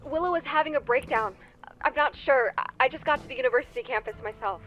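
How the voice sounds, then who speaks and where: A woman's voice: high-pitched, fast talker, a bit bright, dull audio, soft background music. An actress playing an earnest, worried young woman in a visual novel game. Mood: urgent, worried.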